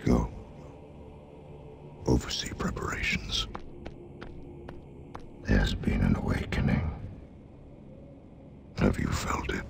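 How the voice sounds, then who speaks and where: Very deep, slow, gravelly dark lord. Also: male voice, lively intonation, whispered, heavily acted. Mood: menacing. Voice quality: gravelly, breathy rumble. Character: towering, ancient, menacing dark lord, slow and commanding. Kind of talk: cinematic villain acting in game cutscene.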